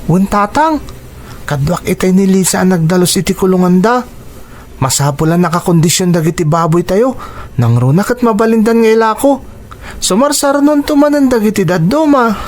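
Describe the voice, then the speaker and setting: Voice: medium pitch, lively intonation, moderate pace, a little mellow, natural voice. A performer with a Filipino accent playing an earnest, respectful, hardworking farm boy in an Ilocano radio drama. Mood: respectful, cheerful.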